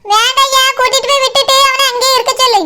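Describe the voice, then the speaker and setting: Woman acting a squeaky, quick-talking village mother. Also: fairly even intonation, bright, forceful tone, exaggerated voice. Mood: busy, instructing. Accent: Kanyakumari Tamil. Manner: chatty, instructing. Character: busy village mother organizing a wedding family, good-natured. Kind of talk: village cartoon drama acting.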